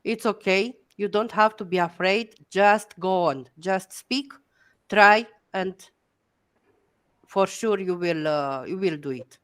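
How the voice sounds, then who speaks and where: A woman's voice: medium pitch, slow pace. A middle-aged woman from Romania with a Romanian accent, speaking English as a second language on a video call, hesitant with many fillers and encouraging. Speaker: guest educator. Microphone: headset.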